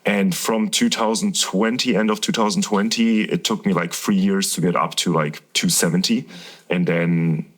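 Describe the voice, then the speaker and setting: Deep-voiced German man, candid. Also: steady tone, moderate pace. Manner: earnest, candid, rambling storytelling. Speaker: guest, professional tennis player. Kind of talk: casual interview talk.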